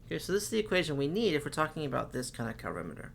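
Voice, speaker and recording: A man's voice: fairly high pitch, sing-song, rapid-fire, creaky, a little hiss or hum. A man teaching chemistry, working through problems step by step and saying okay often, while losing his voice. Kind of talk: recorded classroom lecture.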